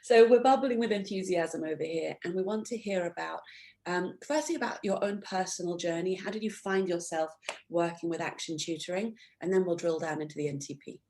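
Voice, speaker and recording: Lower-voiced woman hosting an interview. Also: fairly quick, darkish tone. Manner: enthusiastic, with rhetorical tag questions. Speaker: podcast host. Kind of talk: conversational interview.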